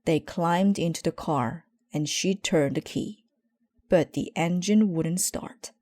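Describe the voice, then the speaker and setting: A woman reading a children's story aloud in a measured, expressive way. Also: fairly low pitch. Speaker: storybook reader.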